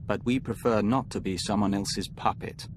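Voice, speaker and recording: Actor as low, serene hive-minded diplomat. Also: male voice, a little hiss or hum, close to an everyday voice. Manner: calm, formal, deliberate. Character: serene male diplomat joined to an insect hive mind. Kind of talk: video game cutscene acting.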